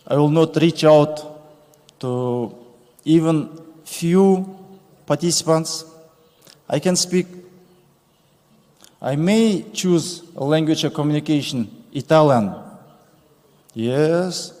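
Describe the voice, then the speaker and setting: Guy speaking at a podium, pretty high voice, big echoey hall. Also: mellow. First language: Kazakh. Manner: slow deliberate phrasing with pauses. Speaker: conference speaker. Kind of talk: formal conference speech. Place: large conference hall stage. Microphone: podium microphone. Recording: reverberant conference hall.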